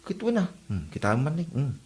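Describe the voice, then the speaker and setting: A man's voice: mid-range voice, wildly swooping pitch, a little fast, a little mellow, husky. A man playing an easygoing, bantering big-brother street sweeper in an Ilocano comedy drama. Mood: coaxing.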